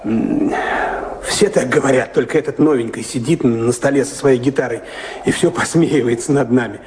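A man's voice: mid-range voice, normal speed, background noise, natural voice. An actor playing a talkative, deferential castle servant in a radio play, naive and awed, hesitant and rambling in his speech. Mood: anxious awe. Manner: hesitant, rambling, servile, with frequent little fillers.

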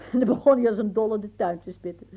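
Husky, whimsical old aunt. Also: female voice, medium pitch, a little fast, narrow, tinny audio. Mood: playful, musing. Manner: musing, theatrical, rambling, drifting into hushed asides. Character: whimsical, dreamy elderly aunt reminiscing in her garden. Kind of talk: radio play acting.

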